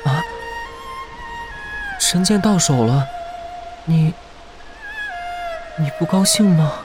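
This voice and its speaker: A man's voice: fairly high pitch, animated, slow pace. An actor playing a devoted young male fox spirit in a costume fantasy drama, speaking earnestly and tenderly. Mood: eager. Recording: music under the dialogue.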